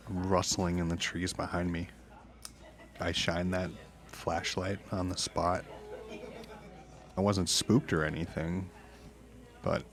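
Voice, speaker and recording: An actor playing a haunted witness in an audio drama, recounting eerie events in a halting, uneasy way. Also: male voice, sits low. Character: haunted married truck driver recounting eerie encounters. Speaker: podcast guest, witness. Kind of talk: naturalistic audio drama storytelling.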